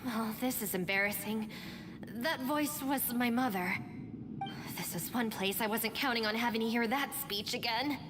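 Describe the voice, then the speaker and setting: A woman playing a composed, independent young student in a video game, speaking in a measured, matter-of-fact way. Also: on the high side, noisy background, natural voice. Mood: composed, reflective. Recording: long reverb, music behind.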